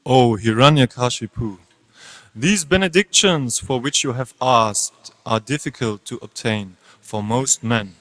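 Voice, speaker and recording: A man's voice: medium pitch, a little slow, fairly bright tone, natural voice. A man playing a solemn, fatherly creator god who grants boons in a devotional dramatic reading. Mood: solemn granting. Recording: hall with long reverb.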